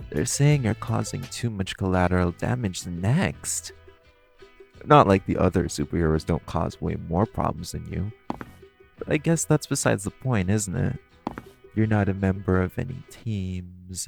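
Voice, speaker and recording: A man playing a low, gravelly, flirty supervillain. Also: darkish tone, full character voice. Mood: mock-admiring, teasing, then mock sympathy. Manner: teasing, coy, theatrical, drawn-out phrasing. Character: flirty, teasing male supervillain thief, playfully charming. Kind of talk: audio roleplay, intimate monologue to the listener.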